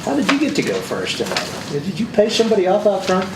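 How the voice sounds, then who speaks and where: A man's voice: high-pitched, wildly swooping pitch, fast talker. A man serving as mayor and chairing a city council meeting, with wry, joking asides. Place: large echoey council chamber. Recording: echoey hall, distant mic, loud noise.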